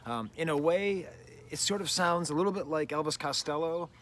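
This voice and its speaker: A man's voice: a little high, moderate pace, a bit bright, slight background noise. A middle-aged man hosting a casual album review, talking in a rambling, chatty way full of "like" and "you know", outdoors with birds nearby. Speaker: music reviewer, show host.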